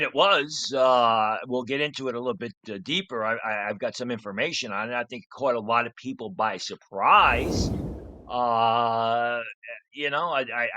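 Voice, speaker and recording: A hoarse man in his sixties, a draft analyst telling stories in casual podcast chat. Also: medium pitch, pushed and bright, dry recording. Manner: storytelling, matter-of-fact.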